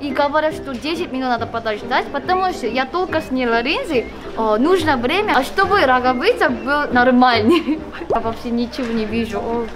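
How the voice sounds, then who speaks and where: A woman's voice: sits high, animated, moderate pace, hardly any pauses. A young Korean woman speaking Russian with a Korean accent, chatting playfully through a hospital vlog with a close handheld camera. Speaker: vlogger. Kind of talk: casual vlog narration. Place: hospital hallways and exam rooms, indoors. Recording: background music and crowd chatter.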